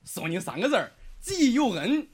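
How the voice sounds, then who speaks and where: A man acting a lovestruck, sentimental young male student in a Chinese audio drama, with a regional dialect accent and an animated, emotional delivery. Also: very high voice, moderate pace, breathy edge, full character voice. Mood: playful.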